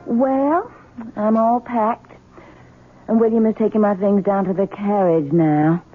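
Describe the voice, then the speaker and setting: An actress playing a brisk, practical, scheming widow in an old radio drama, composed and pointed. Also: female voice, medium pitch, slight background noise, lightly acted. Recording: muffled vintage radio broadcast recording.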